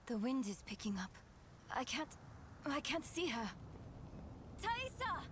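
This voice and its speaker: An actress playing an earnest young woman adventurer in a dark fantasy game. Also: high-pitched, sing-song, slow pace, a little hiss or hum, full character voice. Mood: anxious.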